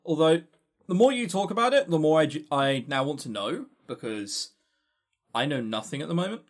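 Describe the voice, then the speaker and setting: A man's voice: a little high, lively intonation, moderate pace. A man with a southern English accent, co-hosting a podcast with blunt, casual opinions. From south of England. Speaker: podcast co-host.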